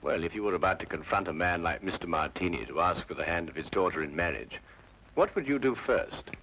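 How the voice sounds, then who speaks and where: An actor playing a brilliant, coolly logical Victorian detective with a precise British delivery in an old-time radio drama. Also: male voice, low-pitched, lively intonation, fairly quick, fairly bright tone. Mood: analytical. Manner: precise, assured, drily deductive. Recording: dull, noisy old radio drama recording.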